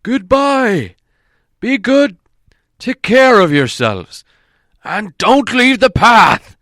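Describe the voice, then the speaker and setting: A man's voice: high voice, very animated, very slow, a bit bright, dry recording, clean studio sound, pitched above the performer's own voice, full character voice. A man voicing an old wizard from a storybook, stern yet cheerful, lecturing with emphatic, projected delivery. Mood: calling out loudly.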